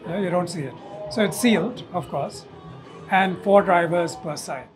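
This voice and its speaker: A man in his sixties explaining technical details in an interview, in a halting way, often checking with "okay". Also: sits high, music in the background. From India. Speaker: loudspeaker company owner and designer, interviewee. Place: hotel corridor at an audio show. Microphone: lapel mic.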